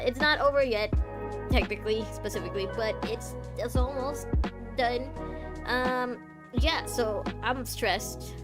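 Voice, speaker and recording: A young woman streaming a game, chatting casually and animatedly with her viewers, laughing often and greeting them by name. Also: a little high. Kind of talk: casual live-stream chat.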